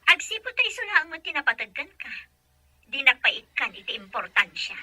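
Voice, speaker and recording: A woman's voice: high-pitched, big pitch swings, brisk, bright, forceful tone, heavily acted, low-quality recording. A woman playing a prying, goading telephone caller in an audio drama, with a needling manner. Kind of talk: amateur audio drama acting.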